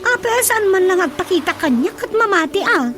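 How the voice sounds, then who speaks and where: An excitable, curious Ilocano daughter for radio comedy-drama. Her voice is extremely high and leaps about in wide-eyed exclamations. Music is clearly audible behind her. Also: moderate pace. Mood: curious, doubtful.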